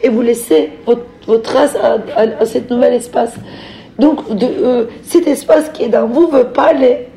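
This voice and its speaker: A middle-aged woman from Turkey with a Turkish accent, telling her own story off the cuff as a novelist, reflective and halting as she searches for words and repeats phrases for emphasis. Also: on the high side, steady tone, vocal fry, dark tone. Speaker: novelist speaking as a guest. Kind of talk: reflective personal account, spoken off the cuff.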